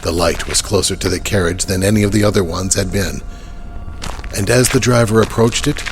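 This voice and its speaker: A man's voice: low-pitched, fairly quick, faint music behind. A man narrating a horror audio drama in a dramatic, scripted storytelling style.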